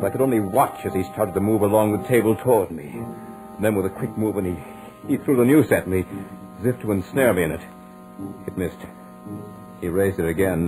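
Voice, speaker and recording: Man acting a haunted, low-voiced doomed narrator. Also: lightly acted, rough recording. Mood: trapped, frightened. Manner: dramatic, deliberate, haunted. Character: terrified doomed man recounting his own hanging. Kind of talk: 1940s radio horror acting, first-person narration. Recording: old 1940s radio recording with music.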